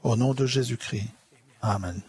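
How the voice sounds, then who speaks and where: A man interpreting a church conference sermon in a measured, solemn, earnest way. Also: medium pitch, a little mellow. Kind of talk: formal sermon delivered in translation.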